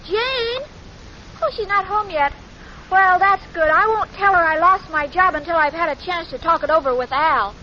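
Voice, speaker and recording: Very high-pitched, sweet dim-witted young woman. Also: moderate pace, sharp tone, slight background noise. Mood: naive. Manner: naive, earnest, hesitant. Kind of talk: 1940s radio sitcom acting. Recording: muffled old radio recording with audience.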